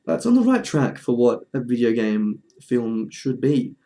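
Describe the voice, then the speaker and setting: A man with a relaxed, mellow voice at a medium pitch talks through a casual review. His delivery is informal and chatty, with a slightly wry edge, as a video presenter speaking to viewers. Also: normal speed. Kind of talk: casual spoken commentary.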